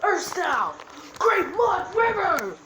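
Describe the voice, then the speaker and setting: A woman voicing a bold young anime ninja boy, calling out attacks in loud, commanding, drawn-out battle cries. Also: extremely high pitch, sing-song, a crowd in the background, pitched above the performer's own voice, exaggerated voice. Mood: fierce, commanding. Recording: roomy echo, noisy background.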